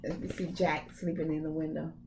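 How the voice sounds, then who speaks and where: A woman's voice: deep voice, little pitch movement, a little fast, vocal fry, background noise. A woman reading a storybook aloud to young children expressively, with casual asides and emphatic phrasing. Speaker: preschool read-aloud storyteller.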